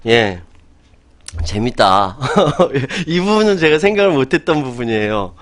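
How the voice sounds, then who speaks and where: Animated, opinionated male talk host. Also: medium pitch, unhurried. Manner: animated, opinionated, laughs often. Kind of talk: talk show discussion.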